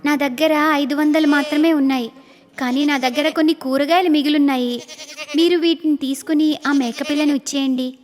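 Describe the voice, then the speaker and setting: Woman as a very high-pitched innocent little girl. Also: steady tone, normal speed, close to an everyday voice. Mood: pleading. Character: kind, innocent little village girl, earnest and pleading. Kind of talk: cartoon moral story dialogue.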